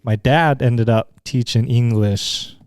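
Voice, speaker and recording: A young man from Utah, a guest in a casual chat, easygoing and agreeable, often pausing with fillers. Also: mid-range voice, a little mellow, clean tone, no echo, studio quality.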